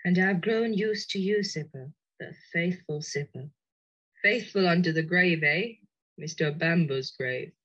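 A weary, lonely woman with a low, husky voice, dry and resigned. The sound is a muffled 1960s radio play. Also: a little slow, a bit bright. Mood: bitter, resigned. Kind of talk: 1960s radio drama acting. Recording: dull old 1960s radio play recording.

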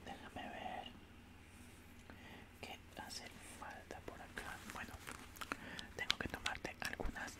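A young man playing a calm, politely menacing alien doctor in a soothing whispered ASMR roleplay, close to the microphone. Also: natural voice. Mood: reassuring. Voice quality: soft, breathy unvoiced whisper. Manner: slow, soothing, matter-of-fact. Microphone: close studio microphone.

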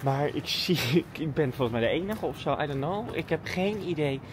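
A woman's voice: sits low, lively intonation, brisk. Chatty woman vlogging over noisy background. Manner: chatty, informal, thinking aloud. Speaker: daily vlogger and dancer. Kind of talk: casual vlog chat.

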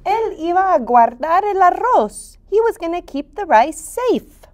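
Children's puppet sidekick: tiny curious monster, bilingual, squeaky-high, swooping intonation. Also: female voice, very animated, measured pace, a little mellow, heavily acted. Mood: explaining. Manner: switches between Spanish and English, simple childlike phrasing.

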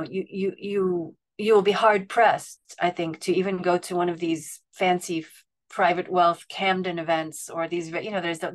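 Candid woman on a video call. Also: fairly low pitch. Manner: candid, rambling, frequent restarts and fillers. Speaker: webinar panelist. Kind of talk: casual panel talk. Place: home room with bookshelves. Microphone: video call webcam.

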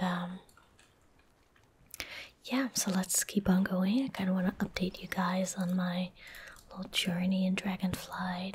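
A woman in her twenties giving soft-spoken ASMR game commentary in a breathy, gentle whisper, unhurried and close to the microphone. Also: mid-range voice, slight background noise. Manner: slow, unhurried, gentle murmuring. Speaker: ASMR streamer. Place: small indoor room at a desk. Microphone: close desk microphone.

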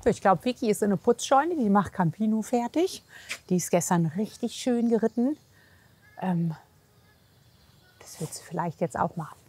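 A woman's voice: mid-range voice, lively intonation, moderate pace, pauses often, darkish tone, slight background noise. A woman talking casually and informally as a chatty host, chatting to the camera and with clients. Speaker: horse physiotherapist, host.

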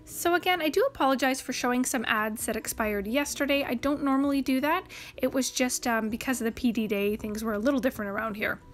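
A woman from Canada narrating a grocery haul as a voice-over, listing prices and savings in a brisk, upbeat way. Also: sits high. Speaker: couponing channel host. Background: faint background music.

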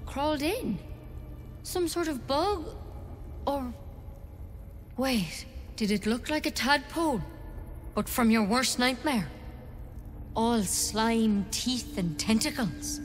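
An actress playing a caring young druid healer in a fantasy game, brisk, inquisitive and matter-of-fact. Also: female voice, on the high side, lively intonation. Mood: curious, alarmed. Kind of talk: naturalistic fantasy game acting. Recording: long reverb with music behind.

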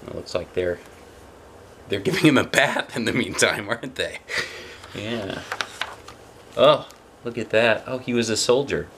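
A man in his forties with a fairly low voice reads a picture book aloud. He talks conversationally to young viewers, often asking them questions. He is recorded in a small room on a camera mic, with clear background noise. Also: animated, unhurried, pauses often, a bit bright. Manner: conversational, puts questions to the listener. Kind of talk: read-aloud storytime with chatty asides to young viewers. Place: small indoor room, seated before a plain wall.

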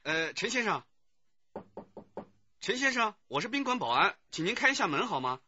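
Animated, anxious hotel guard. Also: male voice, high voice, normal speed, exaggerated voice. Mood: placating, anxious. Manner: placating, hesitant. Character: polite, anxious male hotel security guard. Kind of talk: naturalistic radio play acting. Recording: dull recording with background noise.

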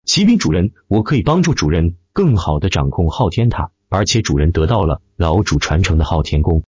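Man voicing a composed, husky little boy spirit. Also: deep voice, brisk, no room sound, dull audio, heavily acted. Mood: earnest. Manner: respectful, steady, explanatory.

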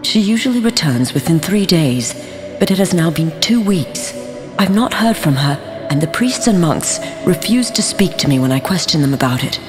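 A sorrowful middle-aged mother voiced by an actress reads out a pleading letter in a game. Her lowish voice carries long reverb over clear music. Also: expressive, normal speed, lightly acted. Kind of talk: letter narration in game cutscene.

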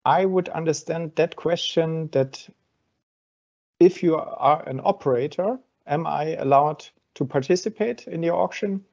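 A man with a gravelly, dark voice hosts a webinar and works through audience questions one by one, with plenty of ums along the way. The sound is dull and lo-fi, as on a video call. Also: fairly high pitch, a little slow. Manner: explains step by step with frequent fillers. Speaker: webinar host from an exchange.

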